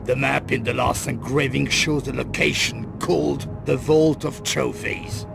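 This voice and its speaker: An actor playing a grim, guarded middle-aged crime boss in a video game cut-scene, with a gravelly voice and grave, deliberate delivery. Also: male voice, mid-range voice, steady tone. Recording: loud music under the voice.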